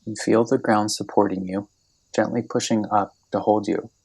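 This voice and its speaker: A man leading a guided meditation as scripted narration, speaking gently and soothingly, unhurried and with long pauses. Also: on the low side, steady tone, vocal fry, husky, rough recording.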